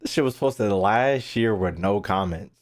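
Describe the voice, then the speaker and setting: A man's voice: fairly low pitch, unhurried. A young man giving casual live-stream commentary on a game, brash, slangy and profane, often repeating himself.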